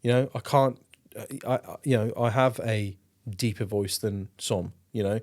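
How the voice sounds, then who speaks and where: A man's voice: on the low side, unhurried, a little mellow. A man hosting a casual podcast conversation, rambling and informal, umming and ahing and swearing freely. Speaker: podcast host.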